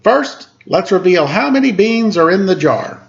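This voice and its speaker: A man voicing a jovial storybook shop owner who announces a contest winner in a projected, drawn-out showman's manner. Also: high-pitched, big pitch swings, gravelly, muffled recording, pitched above the performer's own voice, full character voice. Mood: proud announcing.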